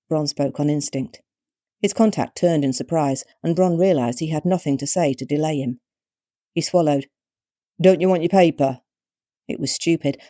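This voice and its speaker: A British woman narrating her own short story with measured, wryly expressive storytelling. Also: low voice, dry recording, studio quality. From Britain.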